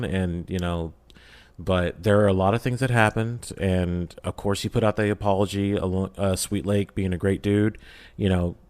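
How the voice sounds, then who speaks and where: A man speaking as a voice actor guest on a podcast, casual and conversational, earnest and emphatic in how he makes his points. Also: fairly low pitch. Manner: emphatic, earnest, acts out imagined quotes. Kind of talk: casual interview chat.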